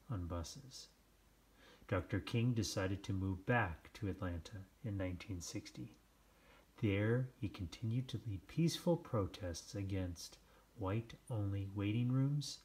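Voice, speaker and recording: A man reading a children's book aloud, with measured, deliberate phrasing and dramatic pauses. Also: on the low side, darkish tone. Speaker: storybook reader. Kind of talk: scripted children's read-aloud narration.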